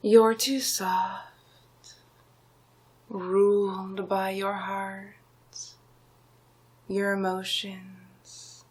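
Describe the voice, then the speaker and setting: A woman voicing a scheming, domineering male sorcerer villain in a slow, breathy, often whispered ASMR roleplay. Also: medium pitch, level tone, darkish tone. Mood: smug, covetous. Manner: slow, drawn-out, menacing, hypnotic.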